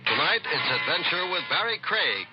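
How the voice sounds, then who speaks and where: Highish male voice, animated 1950s radio promo, loud music, dull vintage sound. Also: moderate pace. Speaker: announcer.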